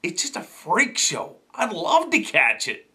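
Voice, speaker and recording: A man hosting a show, delivering comedic news commentary in a jokey, exaggerated way with emphatic pauses and asides. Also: high voice, huge pitch swings, bright, forceful tone. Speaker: fishing show host.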